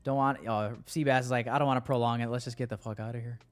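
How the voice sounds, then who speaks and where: Smooth-voiced guy chatting on a livestream. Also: mid-range voice, steady tone, fast talker, faint music behind. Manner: slangy, joking, swearing. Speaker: livestream host. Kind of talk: casual livestream chat with viewers.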